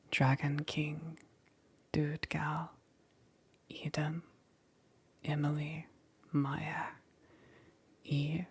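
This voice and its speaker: A woman ASMR creator whispering in a breathy, hushed, measured way as she reads out a list. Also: deep voice, no room sound. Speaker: ASMR creator thanking supporters.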